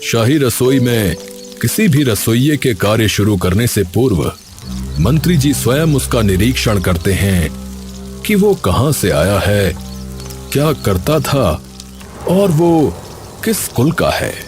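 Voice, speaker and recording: A man voicing a stern but kindly senior palace kitchen overseer in an animated story, with a measured, questioning manner. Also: mid-range voice, soft background music. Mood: impressed, fatherly. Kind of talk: Hindi animated story dialogue.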